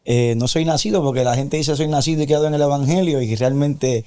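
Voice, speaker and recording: A man's voice: fairly high pitch, a little fast, warm tone, clean tone. A man giving a personal religious testimony as an interview guest, earnest and a little preacherly.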